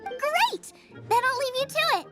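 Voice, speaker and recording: A woman voicing a cheerful, excitable young girl chef in a video game, eager and exclamatory. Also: very high-pitched, unhurried. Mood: breezy. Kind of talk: scripted video game voice acting. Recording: clear music behind the voice.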